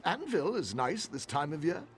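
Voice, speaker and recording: A man's voice: on the high side, very animated, normal speed. An AI-voiced man playing a fussy, superstitious townsman in game dialogue, with faint music behind. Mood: fussy, fatalistic. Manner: wordy, fussy, theatrical swings in tone.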